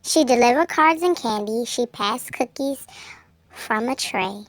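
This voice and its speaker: High-voiced woman reading a children's story. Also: expressive, measured pace, no echo. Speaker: children's book reader. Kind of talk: storybook read-aloud narration.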